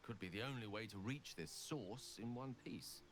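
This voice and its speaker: A man playing an erudite, urbane wizard in a video game, speaking eloquently and deliberately. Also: on the low side, slight background noise, lightly acted. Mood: thoughtful, persuasive. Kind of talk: video game dialogue acting.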